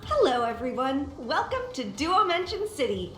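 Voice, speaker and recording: A woman's voice: sits high, sing-song, moderate pace, a bit bright. A woman playing a courteous city police officer in a video game, speaking with polite, official formality. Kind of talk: scripted video game announcement. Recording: roomy sound over background music.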